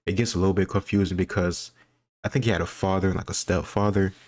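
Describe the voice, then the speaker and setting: A man's voice: low voice, steady tone, fast, a little mellow. A man giving casual, off-the-cuff commentary as he answers quiz questions, wandering into explanatory asides.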